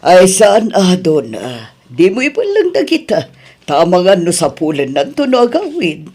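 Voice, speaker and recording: Slow, husky worried mother played by a woman. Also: fairly low pitch, wildly swooping pitch, mellow, full character voice. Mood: worried, longing. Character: middle-aged mother, worried and longing for daughter. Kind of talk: Ilocano audio drama acting.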